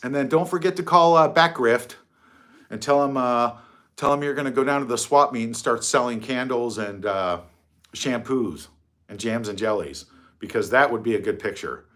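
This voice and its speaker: A man's voice: medium pitch, normal speed, a bit bright. Rambling, mocking middle-aged man. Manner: rambling, mocking, swears freely. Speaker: livestream host. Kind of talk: casual livestream chat. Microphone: phone.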